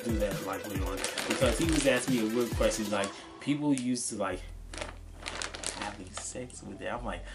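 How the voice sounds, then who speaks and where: A young man chatting casually in an unscripted vlog, halting and repeating himself as he stumbles over his words. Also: on the low side, music in the background. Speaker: vlogger showing convention purchases. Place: small cluttered room at home, indoors.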